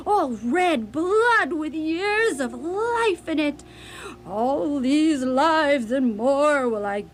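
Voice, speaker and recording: A woman performs a raving asylum inmate in a radio drama, high in pitch and slow. The words come halting and feverish, in fanatical servitude to a vampire, over a music bed. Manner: feverish, halting, breathless declaiming. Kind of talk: radio theatre acting.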